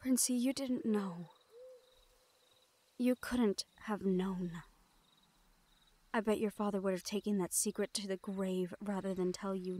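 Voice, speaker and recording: A woman playing a guarded but tender young thief in an intimate roleplay audio drama, speaking in a hushed, hesitant way. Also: mid-range voice, natural voice. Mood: somber, gentle.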